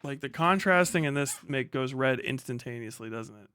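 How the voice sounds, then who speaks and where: A man's voice: mid-range voice, moderate pace, bright, forceful tone, clean tone, no echo, studio quality. A man hosting a livestream, chatting casually and off the cuff, muttering to himself and swearing freely. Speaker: livestream host. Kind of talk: casual unscripted livestream chat.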